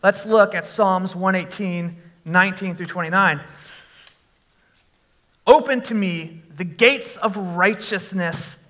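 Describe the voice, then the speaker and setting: Male pastor, sermon, high-pitched, bright tone, animated, casual fillers. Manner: animated, rising to shouts when exclaiming; conversational with fillers and asides.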